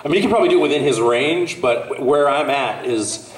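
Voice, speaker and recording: A man with a lyric baritone voice, a voice actor joking and rambling in casual chat on a convention panel. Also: expressive, fairly quick. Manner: jokey, laughing, rambling. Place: large convention hall. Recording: echoey hall from far off.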